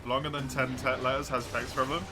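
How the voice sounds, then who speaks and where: A man's voice: medium pitch, level tone, a little fast. An English man chatting casually with his live-stream viewers, laughing often, mocking himself and swearing easily. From England. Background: music.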